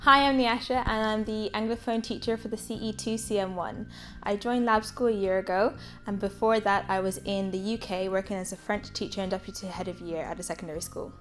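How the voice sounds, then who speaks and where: Female teacher, cheerful and clear, light music. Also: mid-range voice, fairly even intonation, moderate pace, a bit bright. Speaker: primary school teacher. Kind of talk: recorded self-introduction.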